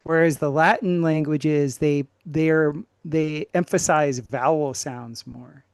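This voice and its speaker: A man speaking casually as a podcast guest, animated and emphatic, often restarting his sentences. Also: a little high, slow, smooth, no echo, studio quality. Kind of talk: casual chat.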